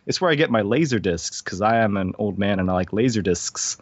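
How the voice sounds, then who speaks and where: A man's voice: fairly low pitch, lively intonation, fairly quick, no echo, highs cut off. An American man from Hawaii chatting casually as an interview guest, rambling, slangy and joking. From Hawaii, United States. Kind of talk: casual chat.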